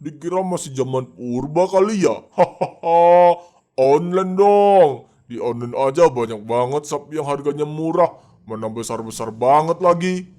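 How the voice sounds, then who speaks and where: A cocky, bragging village man in a cartoon drama. He talks in a raised, fairly high tone, slow and drawn out, and chuckles at his own schemes. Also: animated, a little mellow. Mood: scheming. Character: boastful middle-aged village man.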